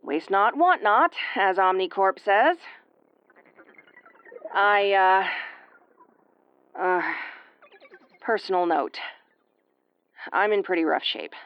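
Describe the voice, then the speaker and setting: A woman's voice: mid-range voice, expressive, slow, long pauses, clear, resonant tone, dull audio. A woman playing an injured, sarcastic starship first officer in an audio roleplay, with a wry, exasperated tomboyish delivery. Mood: weary, rueful. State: woozy and battered. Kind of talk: audio roleplay acting, recorded log monologue.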